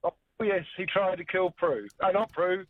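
A man from New calling in to a radio show, talking in a relaxed, hesitant way. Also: sits high, moderate pace, dry recording. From New Zealand. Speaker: caller. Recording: tinny phone line.

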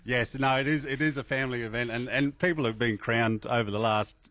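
A middle-aged man with an Australian accent, a festival MC chatting as a radio interview guest in a relaxed way, with many fillers and restarts. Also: mid-range voice, fairly quick, dry recording.

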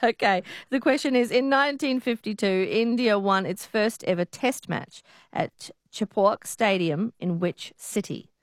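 Woman, radio quiz host, dry close studio sound, warm banter with callers. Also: medium pitch, unhurried, dry recording. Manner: laughing often, friendly banter with callers.

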